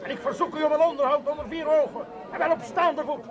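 A man's voice: very high-pitched, steady tone, quick pace, dull audio. An actor playing a pompous, indignant nobleman in a television drama, making formal proclamations. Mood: stern, proclaiming. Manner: formal, proclaiming, some French. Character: pompous, indignant aristocratic cousin issuing summons. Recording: outdoor TV soundtrack with crowd.

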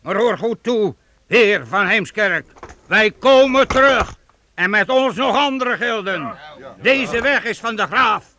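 An actor playing a proud, defiant master weaver who leads armed townsmen in a medieval radio play, assured and paternal. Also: male voice, high-pitched, lively intonation, slow pace, sharp tone, slight background noise, heavily acted. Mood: defiant, firm. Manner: assured, explanatory, addresses youngsters paternally.

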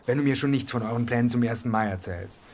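A man's voice: medium pitch, fast, a little mellow, slight background noise, lightly acted. Male actor, breezy journalist, mid-range voice, cheeky, teasing, casual radio play dialogue. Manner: familiar, teasing. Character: breezy, cheeky male newspaperman cadging money.

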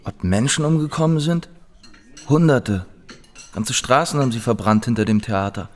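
Radio play male actor: weary displaced man, mid-pitched, matter-of-fact, terse and resigned. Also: expressive, normal speed, natural voice. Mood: resigned, bitter. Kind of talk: German radio drama dialogue.

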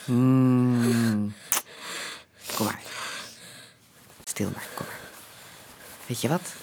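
A woman's voice: rumbling low, fairly even intonation, very slow, dark tone, heavily acted. A woman acting a soothing mother figure in a radio drama, murmuring tenderly to a frightened child. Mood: soothing, hushed.

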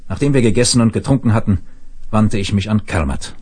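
An actor playing an authoritative space administrator, formal and measured, telling his story in a 1970s radio play. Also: on the low side, a little mellow, husky. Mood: grave, narrating. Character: grave, authoritative male space administrator narrating his adventure. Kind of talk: dramatised narration, 1970s German radio play.

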